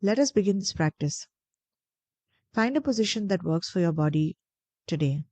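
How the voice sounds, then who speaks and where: A woman guiding a meditation in a gentle, deliberate, soothing way, pausing between phrases. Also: fairly low pitch, lively intonation, no room sound. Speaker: meditation guide. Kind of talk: guided meditation narration.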